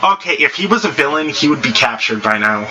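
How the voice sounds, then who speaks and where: A man's voice: mid-range voice, lively intonation, a little fast, pushed and bright. A man casually reading stories aloud as a host, stumbling and rereading phrases as he goes. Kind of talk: casual read-aloud with off-the-cuff reactions. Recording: noisy amateur recording.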